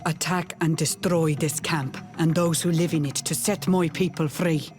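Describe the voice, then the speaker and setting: A woman playing a proud, warlike clan leader in a video game, with solemn, deliberate, formal delivery. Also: sits low, steady tone, a little mellow, faint music behind, natural voice. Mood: resolute. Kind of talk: video game dialogue acting.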